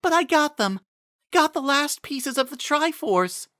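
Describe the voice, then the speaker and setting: A man's voice: very high-pitched, expressive, a little slow, pushed and bright, no echo, clean studio sound, voice pushed up, full character voice. A man voicing an earnest, weary young heroine in a dramatic fantasy storybook reading, emphatic and strained. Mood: pained, relieved.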